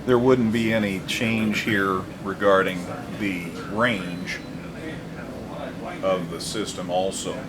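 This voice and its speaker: A man's voice: low-pitched, steady tone, slow, crowd noise. A man working as a radio interviewer, putting points and questions in a conversational way.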